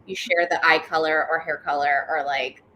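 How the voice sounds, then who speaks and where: A woman from Ecuador and New York talks casually as a guest author, halting and then rushing as she explains. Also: low-pitched, fairly even intonation, sharp tone, slight background noise, poor audio. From born in Ecuador, moved to New York at six or seven. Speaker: novelist, guest at a book club. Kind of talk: casual live discussion about writing.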